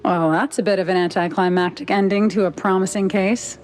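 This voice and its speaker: An actress playing a sharp, skeptical newspaper reporter in a noir cartoon game, probing with dry, unflappable wit. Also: female voice, mid-range voice, fairly even intonation, fairly quick, a little hiss or hum, close to an everyday voice. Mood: dry, curious.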